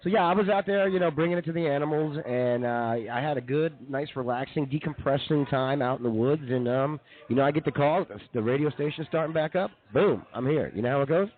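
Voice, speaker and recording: A man's voice: on the high side, fairly quick, few pauses. A man co-hosting a comedy radio show in casual conversation, on a tinny phone-like stream.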